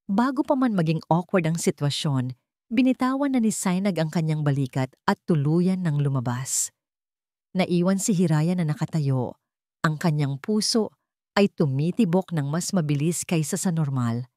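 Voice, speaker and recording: A woman's voice: deep voice, lively intonation, moderate pace, warm tone, no room sound, clean studio sound. A woman narrating a scripted dramatic story.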